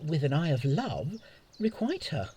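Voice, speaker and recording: Husky, lively lord in love. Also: male voice, a little high, measured pace, exaggerated voice. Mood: awkward, earnest. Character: witty, teasing male soldier lord in love. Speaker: actor in an audio Shakespeare play. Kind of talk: Shakespearean radio play acting.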